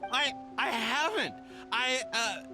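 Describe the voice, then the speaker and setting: High-pitched nervous, shifty merchant. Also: male voice, animated, slow, heavily acted. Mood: panicked. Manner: stammering, flustered, defensive. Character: nervous, shifty male merchant pleading innocence. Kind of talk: video game voice acting. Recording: background music under voice.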